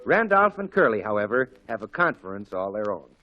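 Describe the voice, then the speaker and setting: A man's voice: fairly low pitch, sing-song, brisk, faint music behind. An American man announcing on 1940s radio in an emphatic, folksy, salesmanlike scripted style. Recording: muffled old 1940s radio broadcast.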